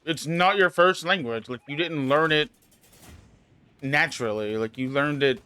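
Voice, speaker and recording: A man's voice: fairly high pitch, measured pace, sharp tone, clear, resonant tone, dry recording. A man streaming live who chats in a laid-back, reassuring way and swears casually while he plays. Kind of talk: casual chat while gaming.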